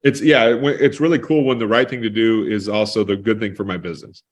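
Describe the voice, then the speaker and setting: A man's voice: lowish voice, fairly even intonation, fast talker. A man in his forties, a guest in a casual interview, speaking with an enthusiastic, emphatic manner. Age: 40s.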